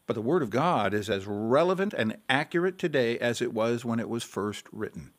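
An actor playing a patient pastor leading a Bible study in an audio drama, earnest and explanatory. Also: male voice, medium pitch, animated, normal speed, lightly acted.

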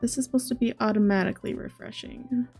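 Casting: female game streamer, late twenties, higher-pitched voice, informal and chatty, close mic, faint music behind. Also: steady tone, fast, a little mellow, breathy edge. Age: late 20s. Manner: chatty, talking to viewers and reading chat aloud. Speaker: video game streamer, host. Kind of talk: casual livestream chat. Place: home living area, indoors. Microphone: large desk microphone up close, headset on.

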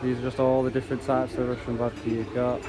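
Chatty man, flat easygoing delivery. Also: mid-range voice, quick pace, a crowd in the background, low-quality recording. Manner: relaxed, chatty, pointing out prices. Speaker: vlogger touring a supermarket. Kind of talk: casual walk-through narration. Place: large supermarket indoors, off camera. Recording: loud store air-conditioning hum behind.

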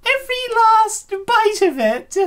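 A very high, girlish voice for a comic dub performed by a woman. The character is an anxious young woman, bubbly and eager, who gets flustered easily. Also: sing-song, unhurried, fairly bright tone, heavily acted. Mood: eager, coy.

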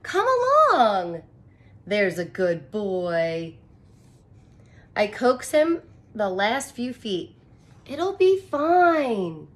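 A woman's voice: mid-range voice, expressive, very slow, long pauses. A woman in her thirties, a teacher reading a picture book aloud and explaining it expressively in simple phrases for young children. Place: small furnished room indoors.

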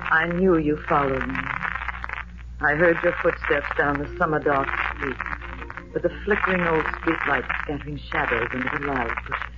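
A woman's voice: deep voice, normal speed, close to an everyday voice. An actress playing a woman longing for an unseen lover, speaking tenderly in 1940s radio style. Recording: muffled hissy 1940s radio broadcast.

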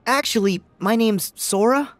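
An actor, a man, playing an earnest, friendly teenage boy hero in an animated video game. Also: high voice, measured pace, no echo. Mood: puzzled. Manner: earnest, youthful.

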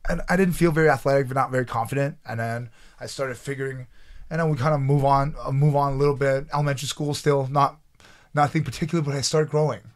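A man in his thirties from Taiwan, raised in Canada, telling his story as a podcast guest in a small studio, animated and halting with restarts. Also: medium pitch. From Taiwan, raised in Canada from age nine. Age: 30s. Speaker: guest, pro athlete. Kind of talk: storytelling in an interview. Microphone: desk mic on boom arm.

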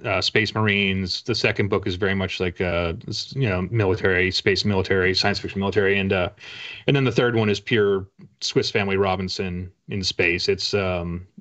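Male author guest, 40s, American, low voice, wry casual delivery, slightly dull video-call sound. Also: fairly even intonation, moderate pace, fairly bright tone. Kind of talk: casual interview talk. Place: small home room lined with bookshelves. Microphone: video call, at a desk.

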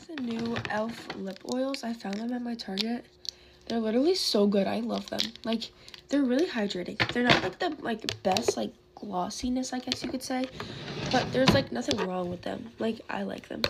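Teen girl rambling with lots of "like", a bit high, gravelly fried voice, noisy phone mic close up. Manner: rambling, heavy use of "like", chatty. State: losing her voice. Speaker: beauty vlogger. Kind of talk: casual get-ready-with-me chat. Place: bedroom at a vanity desk, indoors. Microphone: phone camera close in front.

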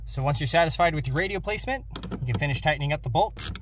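Higher-pitched young American man, instructional. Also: expressive, very fast. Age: young adult. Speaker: host of a car DIY channel. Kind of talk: instructional how-to narration. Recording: clear background noise, clicks and jangling.

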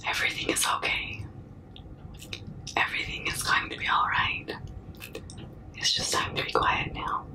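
A young woman whispering very close to the mic in a breathy, soothing, repetitive ASMR comforting roleplay, with soft shushing. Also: moderate pace, long pauses, low-quality recording. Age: young adult. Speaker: ASMR performer. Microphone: very close to the mouth.

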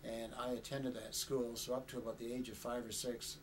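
An older man giving an oral history interview, talking in a rambling, hesitant way with many pauses and frequent chuckles. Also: mid-range voice, flat delivery, slight background noise. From Canada. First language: Chinese. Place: indoor studio with flag backdrop.

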